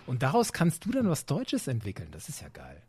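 A man's voice: medium pitch, huge pitch swings, brisk, darkish tone, breathy edge, no room sound. A man in his forties hosting a podcast, chatting casually and enthusiastically and laughing often. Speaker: podcast host. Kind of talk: casual interview chat.

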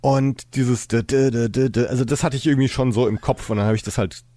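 Guy podcaster just chatting, medium pitch, really dry recording. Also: fairly even intonation, brisk, mellow, dry recording. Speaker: podcast host. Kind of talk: casual chat.

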